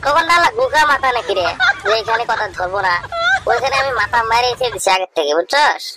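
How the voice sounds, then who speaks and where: A man voicing a young village man who teases his friend in crude, rough banter, speaking. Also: very high voice, lively intonation, a little fast, over a noisy crowd, very noisy. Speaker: voice actor. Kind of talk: comedic cartoon dialogue. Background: loud music.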